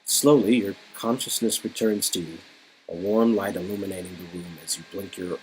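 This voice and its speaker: A man's voice: deep voice, fairly even intonation, moderate pace, a little mellow, faint music behind, low-quality recording. A man co-hosting a read-along of a story game, switching between narration and playful, slangy, casual banter. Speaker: co-host reading a story game aloud.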